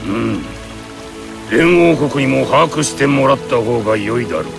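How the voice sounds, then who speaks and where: An actor voicing a grave, dignified old clan head with a gravelly voice and archaic, formal speech. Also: male voice, mid-range voice, lively intonation. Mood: solemn, courteous. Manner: archaic, formal, slow and weighty. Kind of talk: Japanese anime fighting game acting. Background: background music.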